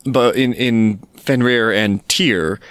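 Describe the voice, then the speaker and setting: A man's voice: mid-range voice, slow, fairly bright tone, clean tone, dry recording. A man co-hosting a podcast, chatting casually and telling stories with informal enthusiasm. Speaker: podcast co-host. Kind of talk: casual chat.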